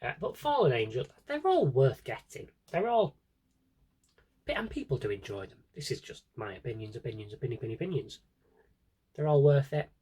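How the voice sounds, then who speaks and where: A man's voice: mid-range voice, sing-song, a little fast, mellow, breathy edge. A British man chatting casually through a vlog-style review, wry and opinionated, with rambling asides. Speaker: vlogger showing a collection.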